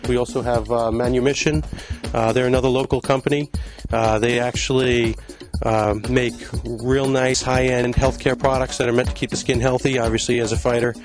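A man's voice: mid-range voice, flat delivery, normal speed. A man in his thirties from Long Island giving a casual, filler-heavy walkthrough of his store, speaking into a handheld mic. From Long Island, New York. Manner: conversational, many fillers and restarts. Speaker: store owner giving a tour. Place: indoor retail store. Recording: background music in a shop. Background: music playing in the store.

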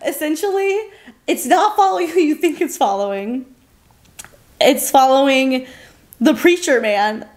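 A woman's voice: sits high, animated, measured pace, pauses often, darkish tone. A woman in her early thirties co-hosting an informal, conversational chat about books. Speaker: podcast co-host. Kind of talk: casual book chat. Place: living room couch, indoors.

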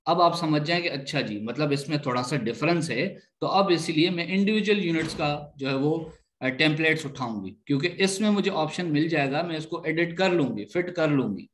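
Man, slightly high pitch, online instructor, step-by-step explaining, repetitive, frequent check-ins. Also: animated, moderate pace, a bit bright. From Pakistan. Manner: repeats points and checks understanding often.

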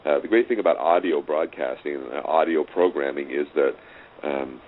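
A middle-aged man with a very low, deep voice talks casually as an interview guest. He repeats phrases for emphasis and tends to chuckle. The sound is narrow and phone-like, with some faint noise. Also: moderate pace. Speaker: interview guest, audio drama writer and director. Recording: tinny phone-like line, clear noise.

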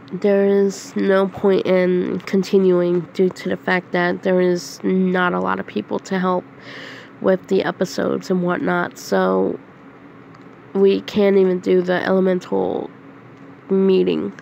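Sick woman with a stuffy nose, croaky and flat, talks slow, bit of hiss behind. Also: on the low side, lo-fi sound. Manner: slow, halting, with frequent throat clearing and coughs. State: sick with a head cold, nasal and hoarse. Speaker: channel host. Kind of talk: casual announcement to viewers. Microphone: phone screen recorder.

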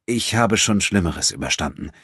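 Ein Mann, der in einem Hörbuch einen bewusst barschen, herrischen Mann spricht, knapp und streng. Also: male voice, low voice, lively intonation, moderate pace, a bit bright, slightly rough, no room sound, clean studio sound, pitched above the performer's own voice, heavily acted. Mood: gruff, scolding. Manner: brusque, domineering. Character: gruff, commanding man, deliberately harsh, secretly protective. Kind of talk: audiobook character acting.

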